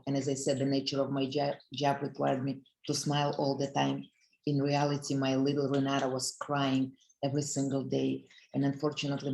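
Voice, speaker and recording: A woman's voice: sits low, gravelly, darkish tone, low-quality recording. Earnest middle-aged woman with a Russian accent. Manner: earnest, emotional, addresses audience directly. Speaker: motivational business speaker. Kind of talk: heartfelt motivational talk. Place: speaking on a video call. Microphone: video call.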